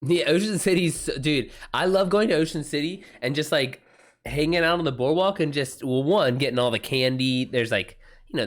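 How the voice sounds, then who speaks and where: Casual American guy chatting on a livestream. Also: medium pitch. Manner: informal, slangy, swears freely. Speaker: livestream host. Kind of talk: casual chat with livestream viewers.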